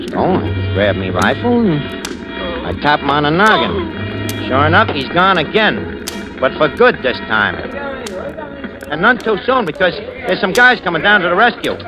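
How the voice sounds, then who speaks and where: Shifty, lively New York wise guy. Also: fairly high pitch, rough recording. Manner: streetwise slangy talk with bad grammar. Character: shifty, wisecracking American crook posing as a gentleman. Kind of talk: 1940s radio acting, first-person narration. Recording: old radio recording with music behind.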